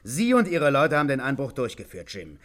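An actor playing a smug, insinuating police inspector in a 1950s German radio play, needling with mock patience. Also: male voice, medium pitch, huge pitch swings, a little fast.

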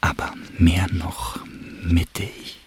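An actor playing a sensual male admirer in a radio drama monologue, breathy and gravelly, lingering over fragmentary phrases. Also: low-pitched, little pitch movement, slow pace, whispered, heavily acted. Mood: hushed, sensual. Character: sensual male admirer musing on a naked body. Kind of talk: intimate radio drama monologue, literary German.

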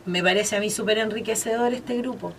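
A woman's voice: mid-range voice, brisk, creaky, fairly bright tone, a little hiss or hum. Chatty Chilean woman radio host. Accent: Chilean Spanish. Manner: hesitant, many fillers and restarts. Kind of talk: casual interview chat.

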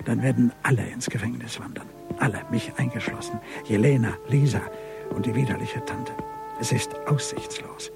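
Actor as low-voiced, embittered father. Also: vocal fry, natural voice. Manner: grave, deliberate, brooding. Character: embittered middle-aged father, trapped and fatalistic avenger. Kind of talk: radio crime drama, dialogue and monologue. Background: music.

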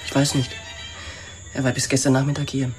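A woman's voice: rumbling low, little pitch movement, a little fast. An actress playing a bitter, yearning woman in a noir radio drama, direct and challenging, with music behind her. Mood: wounded, challenging.

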